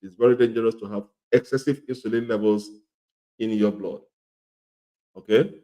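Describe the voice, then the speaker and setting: A middle-aged man with a cold and a slightly hoarse voice, teaching an informal health lesson, repeating his points and often asking "okay?". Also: fairly low pitch, fairly even intonation, normal speed, dark tone. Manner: repetitive, often checks in with "okay?". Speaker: health educator.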